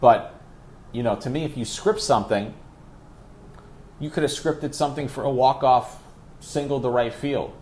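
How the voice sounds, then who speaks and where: Man, sports announcer as talk guest. Medium pitch, discursive and emphatic, noisy ambient recording. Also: normal speed, pauses often, darkish tone. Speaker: radio play-by-play announcer, interview guest. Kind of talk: conversational sports interview.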